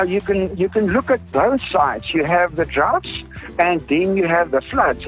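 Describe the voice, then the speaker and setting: A South African man with an Afrikaans accent speaks in a rather high voice. He explains earnestly, repeating words for emphasis, like a researcher being interviewed. The sound is dull and lo-fi, with music underneath. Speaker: groundwater researcher, interview guest. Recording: dull lo-fi audio with music behind.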